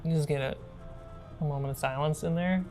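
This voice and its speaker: A woman's voice: low-pitched, a little fast, over music. A woman acting as game master, narrating a tabletop roleplaying game in an improvised, conversational way.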